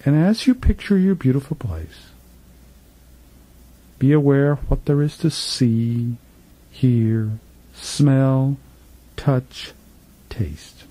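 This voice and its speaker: Slow, very mellow male meditation guide. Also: medium pitch, no room sound, rough recording. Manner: soothing, repetitive, gently instructing, with trailing phrase endings. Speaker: meditation guide, spiritual teacher. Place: professional studio.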